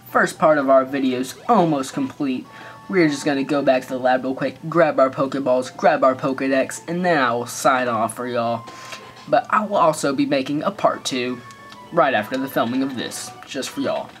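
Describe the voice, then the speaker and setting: A man's voice: medium pitch, fairly bright tone. A man with an American accent giving goofy, rambling let's play commentary full of sarcastic jokes. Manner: joking, rambling, sarcastic asides. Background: faint game music.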